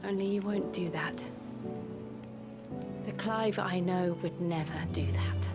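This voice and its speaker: A woman's voice: on the low side, slow, over loud music. A woman playing an earnest, brave young companion in a video game. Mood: soft, worried. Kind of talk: dramatic video game cutscene acting.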